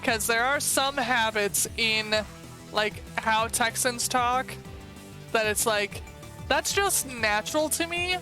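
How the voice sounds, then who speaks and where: A woman's voice: on the high side, slow pace, sharp tone, soft background music, rough recording. A woman with a Michigan accent streaming live from home, chatting casually and rambling with lots of "like" and "you know".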